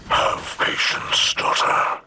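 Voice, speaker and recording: A man's voice: slow, lightly acted. A man playing a patient, grave old alien father and leader in a video game, speaking in a solemn, wise manner. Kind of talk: dramatic video game dialogue. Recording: loud noisy ambience behind voice. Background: loud ambient noise.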